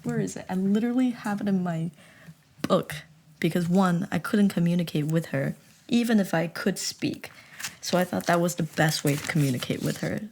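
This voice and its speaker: Low-voiced chatty young woman. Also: moderate pace, vocal fry. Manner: chatty, animated, self-mocking; rambling, with many restarts. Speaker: vlogger. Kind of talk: casual storytelling chat.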